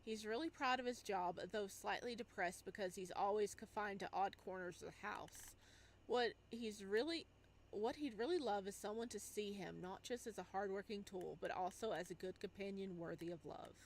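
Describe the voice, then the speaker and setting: A woman's voice: medium pitch, moderate pace. A woman hosting a game playthrough, reading on-screen text aloud and reacting casually as she goes. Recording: clear background noise under the voice.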